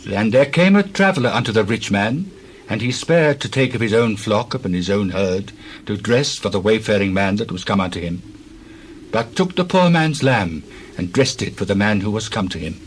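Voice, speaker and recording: A man playing a solemn prophet who tells a parable in grave, deliberate scripture-drama style. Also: on the low side, expressive, slight background noise, close to an everyday voice. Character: solemn male prophet telling a parable to a king.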